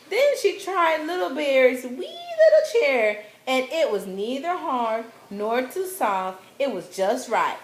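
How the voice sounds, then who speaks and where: A high-pitched woman reads a children's fairy tale at a slow, deliberate pace. She is narrating a puppet show in a roomy, echoing space. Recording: echoey room, faint background noise.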